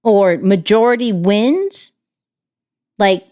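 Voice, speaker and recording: A young adult woman co-hosting a podcast in casual chat, animated, with her voice jumping high when she gets excited. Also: takes it slowly. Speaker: podcast co-host.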